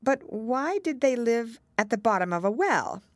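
A woman's voice: on the high side, big pitch swings, moderate pace, no echo, clean studio sound, pitched above the performer's own voice. A woman voicing a polite, curious young girl who is cautious and easily offended, in a storybook reading.

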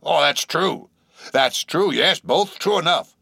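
A man's voice: on the high side, lively intonation, slow, vocal fry, sharp tone, slightly rough, no room sound, clean studio sound, pitched above the performer's own voice, exaggerated voice. A man voicing a shabby, insanely earnest old sailor in an audiobook, speaking abruptly with nervous emphasis and derisive, cryptic questions. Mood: derisive, ominous. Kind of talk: audiobook character acting.

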